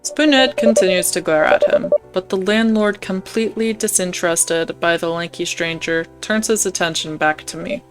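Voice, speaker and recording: A young woman narrates a visual novel for a gaming video. Her pitch sits a bit low, and she moves between storytelling and casual asides, with faint music in the background. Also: moderate pace, hardly any pauses. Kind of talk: reading a visual novel aloud with casual commentary.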